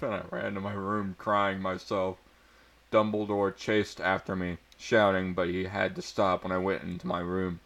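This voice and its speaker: Guy doing a slow jokey dramatic reading of fanfic, trips over words, cracks up easily. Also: lowish voice. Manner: breaks into laughter and stumbles over words. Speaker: amateur reader. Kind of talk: mock-dramatic reading of fan fiction.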